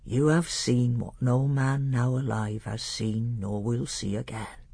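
A storybook reader voicing an old male tutor who is grave and secretive, with a hushed, deliberate way of talking and old-fashioned phrasing. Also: medium pitch, fairly even intonation, gravelly, slightly rough, no room sound, full character voice. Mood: hushed, solemn. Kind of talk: audiobook character voice.

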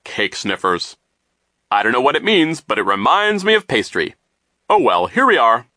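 A man acting a loud, pompous, oblivious banker. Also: medium pitch, sing-song, moderate pace, no room sound, studio quality. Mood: blithe, hearty. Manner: self-satisfied, hearty, emphatic. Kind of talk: audiobook character voice.

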